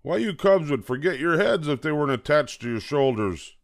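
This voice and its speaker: A man voicing a gruff, grumpy father bear who roars at his cubs in a storybook reading. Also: fairly low pitch, sing-song, measured pace, no room sound, clean studio sound. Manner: blustery roaring. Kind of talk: storybook read-aloud.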